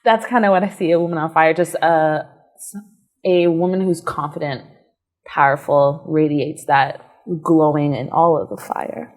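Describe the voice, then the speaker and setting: A young woman entrepreneur answers interview questions in a casual, reflective way, pausing and restarting as she thinks. Her voice sits low for a woman. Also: steady tone, a little mellow. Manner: reflective, hesitant, many fillers and restarts, laughs easily.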